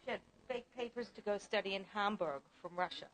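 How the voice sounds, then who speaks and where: A woman's voice: medium pitch, a little fast, slight background noise, poor audio. A woman artist in a museum gallery, earnestly and reflectively describing her family photographs. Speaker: exhibiting artist. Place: art museum gallery, indoors.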